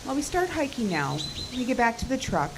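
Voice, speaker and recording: An actress playing a spirited, teasing field technician out camping in an audio horror drama, expressive in delivery. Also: female voice, on the high side, fairly quick, background noise. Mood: practical.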